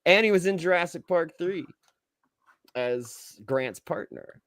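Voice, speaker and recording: A man co-hosting a casual movie review podcast, talking in an excitable, blunt way with plenty of swearing. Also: fairly high pitch, takes it slowly, no echo, clean studio sound. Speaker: podcast co-host.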